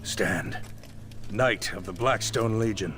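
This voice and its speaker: A man's voice: fairly low pitch, slow pace, fairly bright tone, faint music behind. An actor playing a proud male knight lord in a game cutscene, speaking in a formal, commanding manner. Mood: solemn, commanding. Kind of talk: dramatic game cutscene dialogue.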